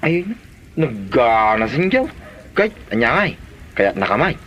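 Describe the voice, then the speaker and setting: A man's voice: mid-range voice, very animated, unhurried, fairly bright tone, slight background noise. A man playing a nosy, mocking male pal in a comedy drama, full of teasing exclamations. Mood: curious, teasing. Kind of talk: Ilocano comedy drama acting.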